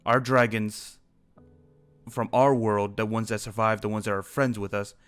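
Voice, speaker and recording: A man's voice: lowish voice, clean tone, natural voice. A man playing an earnest dragon slayer in an improvised fantasy game roleplay, explaining things in a serious way.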